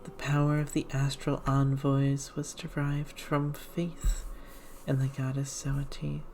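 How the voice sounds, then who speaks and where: Slow, hushed, low-voiced ASMR woman. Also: monotone, a little hiss or hum. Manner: hushed, slow, measured reading with whispered passages. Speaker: ASMR streamer reading a game aloud. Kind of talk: ASMR, whispered and soft-spoken game read-through.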